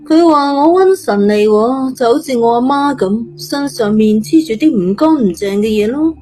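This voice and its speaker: A woman's voice: a little high, lively intonation, moderate pace, mellow, soft background music, natural voice, poor audio. A woman voicing a brash, chatty, blunt teenage girl with a morbid streak, speaking colloquial Cantonese in an audio story. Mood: blunt, dark. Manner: cheeky, blunt, colloquial, muttering to herself.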